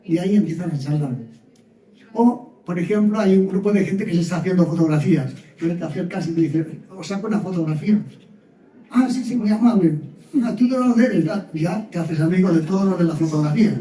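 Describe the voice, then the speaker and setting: A man's voice: high-pitched, fairly quick, mellow. Chatty man from Spain, echoey distant room. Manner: chatty storyteller who acts out little dialogues, laughs often. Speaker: speaker sharing travel experiences. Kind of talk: informal talk to a group.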